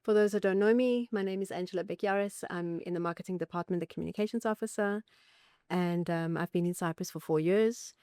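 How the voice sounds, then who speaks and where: A woman's voice: fairly low pitch, brisk, warm tone, clean tone, no echo, studio quality. A woman, a communications officer speaking articulately in a podcast conversation. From South Africa. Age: 40s.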